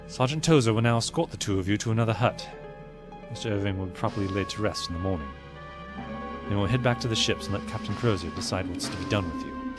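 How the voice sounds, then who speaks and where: An actor playing a dutiful, wary naval lieutenant in a period audio drama, speaking with formal military deference. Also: male voice, fairly low pitch, fast talker, over music, natural voice. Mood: sombre, guarded.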